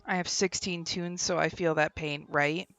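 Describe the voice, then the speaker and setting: A woman's voice: low-pitched, steady tone, moderate pace, fairly bright tone, no room sound, faint music behind. A young woman streaming a game from home, chatting casually and laughing, often trailing off mid-sentence, on a desk microphone. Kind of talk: casual gameplay stream chat. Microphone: desk microphone with headphones.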